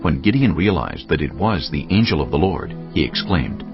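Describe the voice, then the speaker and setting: A man's voice: very deep voice, sing-song, normal speed. A man narrating a dramatized reading of scripture as a scripted storyteller. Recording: muffled audio with faint music.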